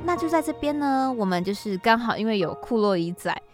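A woman's voice: fairly high pitch, lively intonation, brisk. A woman with a Taiwanese Mandarin accent hosting a podcast and introducing it in a casual, explanatory way. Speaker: podcast host and scriptwriter. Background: background music.

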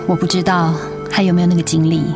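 A woman's voice: on the low side, little pitch movement, quick pace, natural voice. An actress with a Taiwanese accent playing a weary, guarded wife in her forties in a radio drama, speaking with restraint. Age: 40s. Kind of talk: Mandarin radio drama acting. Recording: music bed under the voice.

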